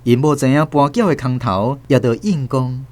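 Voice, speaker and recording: A man's voice: medium pitch, moderate pace, a little mellow, dry recording. A man with a Taiwanese accent narrating a scripted radio drama as a dramatic storyteller.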